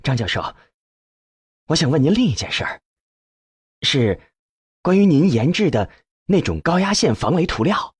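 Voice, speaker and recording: An earnest male researcher, voiced by an audiobook narrator, speaks politely but urgently. The voice is husky and expressive, pressing forward with respectful questions. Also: on the high side, normal speed, pauses often, no room sound, pitched above the performer's own voice, full character voice.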